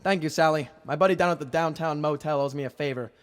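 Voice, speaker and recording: A man acts a dapper, brave police inspector in a vintage radio crime serial. His voice runs a little high. He is cocky and wry, with theatrical delivery. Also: fast, close to an everyday voice. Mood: urgent, determined. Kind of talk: 1940s radio acting.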